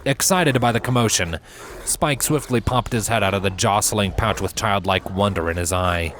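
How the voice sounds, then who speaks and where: A man reading scripted fan fiction as a narrator, with measured, dramatic storytelling. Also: lowish voice, a bit bright, a little hiss or hum. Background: background music.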